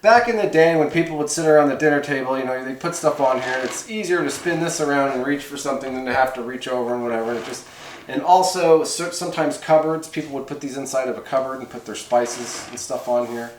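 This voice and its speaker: A man's voice: mid-range voice, quick pace. A man giving a casual hands-on how-to demonstration, talking in a folksy, rambling, conversational way. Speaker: host of a radio hobby how-to channel. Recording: roomy echo, clear background noise, clicks.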